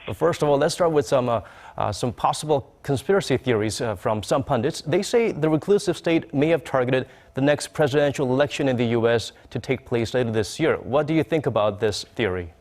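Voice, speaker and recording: A male news presenter interviews in a formal broadcast register. His pitch sits in the middle for a man, and his phrasing sometimes hesitates as he frames questions. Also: a little fast, darkish tone.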